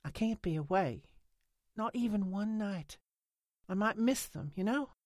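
A woman narrator acts out an anxious rural woman in an audiobook. She is guarded and defiant, with halting, insistent dialect speech. The sound is dry and close. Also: mid-range voice, lively intonation, a little mellow, no room sound, voice pushed up, close to an everyday voice. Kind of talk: audiobook character acting.

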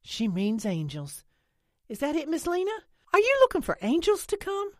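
A woman narrator puts on a young rural woman, blunt and pushy. The audiobook voice sits high above plain narration and speaks in country dialect. The recording is very dry. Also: sing-song, normal speed, no room sound. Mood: teasing, probing. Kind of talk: audiobook character acting.

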